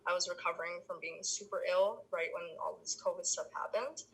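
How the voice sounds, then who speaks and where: A woman's voice: lowish voice, brisk, fairly bright tone, husky, low-quality recording. A young woman from the Philippines, a university student, chatting casually in an interview with many ums and restarts. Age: young adult.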